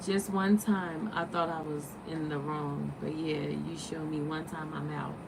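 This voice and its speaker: Woman in her late thirties, livestream host, animated and preachy, lowish voice, distant mic, vehicle noise behind. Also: a little slow, gravelly. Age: 30s. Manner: animated, preachy, rhetorical questions. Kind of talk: casual livestream talk.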